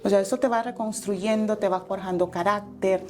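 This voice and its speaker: A woman's voice: mid-range voice, fairly even intonation, normal speed, warm tone, soft background music. A Salvadoran woman in her forties, a guest entrepreneur telling her life story in an interview, earnest and reflective, with fillers and self-corrections.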